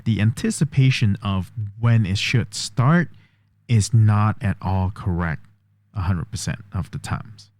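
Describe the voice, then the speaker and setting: A man with a fairly low voice talks through a tech demo, explaining each step and thinking aloud as he goes. The sound is dry and close, with no room around it. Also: a little mellow, no room sound. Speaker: vlogger testing an AI voice assistant. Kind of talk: casual tech demo commentary.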